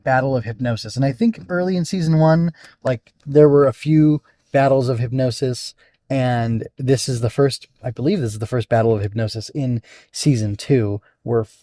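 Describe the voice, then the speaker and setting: A man's voice: mid-range voice, dark tone, no echo, studio quality. A man podcast host giving a casual, unscripted solo review, thinking aloud and often interrupting himself as he rambles.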